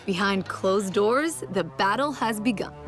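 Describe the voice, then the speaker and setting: A woman's voice: mid-range voice, animated, a little slow. Woman narrating, music behind. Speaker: documentary narrator. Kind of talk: scripted narration.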